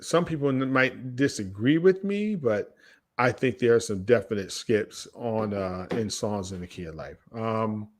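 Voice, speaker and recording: A man's voice: on the low side, lively intonation, a little slow. A man hosting a podcast in casual, slangy chat, often repeating phrases for emphasis. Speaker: podcast host.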